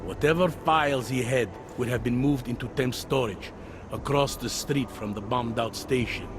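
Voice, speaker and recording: A man's voice: mid-range voice, normal speed, background noise, close to an everyday voice. A man acting a world-weary, middle-aged police detective in a video game, with a hesitant, wry, conversational delivery. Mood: pensive, hesitant. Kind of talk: video game cutscene dialogue.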